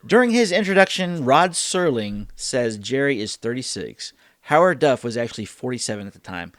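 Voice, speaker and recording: A man's voice: medium pitch, big pitch swings, moderate pace, a bit bright, no echo, studio quality. A man hosting a reaction show, talking casually and thinking aloud as he gives rambling commentary.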